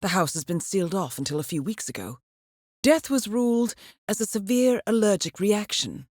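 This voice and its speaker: An actress playing a composed, shrewd intelligence handler in a video game, briefing an assassin in a measured, formal way with dry understatement. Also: female voice, medium pitch, animated, a little mellow, dry recording, lightly acted. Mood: composed, informative. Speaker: mission handler guiding an assassin. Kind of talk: scripted video game voice acting, briefing narration.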